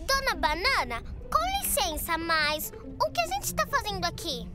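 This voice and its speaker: Eager little cartoon girl, Brazilian accent, very high pitch, lively, loud music behind. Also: moderate pace. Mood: curious, eager. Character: curious, cheerful little girl, eager and reassuring. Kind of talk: children's cartoon dub.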